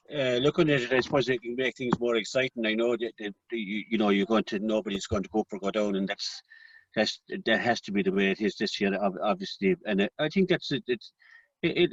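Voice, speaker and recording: An Irish man on a sports panel, halting and repeating words, recorded dully from a distance. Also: medium pitch, dry recording. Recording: distant, dull lo-fi audio.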